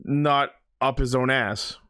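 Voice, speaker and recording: A man's voice: mid-range voice, fairly even intonation, takes it slowly, bright, forceful tone, clear, resonant tone, no room sound, studio quality. A man co-hosting a podcast, talking in casual fan banter with an informal, sweary manner. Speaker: podcast co-host.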